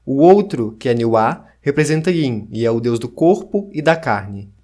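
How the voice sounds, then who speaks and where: A man's voice: medium pitch, lively intonation, normal speed, dark tone, lightly acted. A man voicing a solemn teller of ancient creation myths in a scripted audio story. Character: ancient myth teller recounting serpent and dragon creators. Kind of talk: scripted mythic narration.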